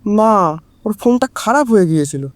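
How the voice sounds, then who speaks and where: A man's voice: high-pitched, animated, normal speed, a little mellow, no echo. A man playing a distraught husband with an anxious, faltering delivery in a Bengali audio drama. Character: distraught husband whose wife has gone missing.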